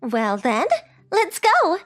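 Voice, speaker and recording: A woman's voice: very high voice, sing-song, slow pace, soft background music, heavily acted. A woman voicing a sweet, polite rich teenage girl who is coy and forward, in a romantic comedy comic dub. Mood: coy, teasing. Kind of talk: anime-style comic dub dialogue.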